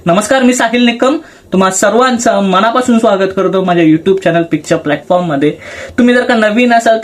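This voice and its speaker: A man hosting a film channel, explaining things casually and conversationally with English words mixed in. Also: high-pitched. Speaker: YouTube film channel host.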